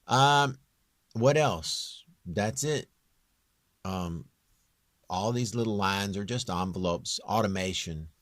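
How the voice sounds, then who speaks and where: A man with a very low, near-bass voice giving a casual, off-the-cuff software walkthrough in a rambling, plain-spoken, self-deprecating way. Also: slow, pauses often, no room sound. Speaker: home-recording singer giving a tutorial.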